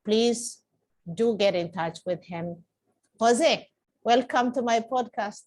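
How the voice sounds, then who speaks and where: A woman's voice: on the high side, slow pace, darkish tone. A woman hosting a podcast, introducing her guest and prompting him with friendly questions. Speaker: podcast host. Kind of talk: casual interview.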